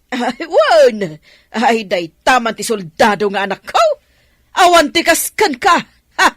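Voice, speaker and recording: A scheming old Filipino grandmother in a radio drama. She talks slowly, her fairly high voice swooping widely as her sharp tongue gets to work. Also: sing-song, no room sound, studio quality, exaggerated voice. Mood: pleased, fawning.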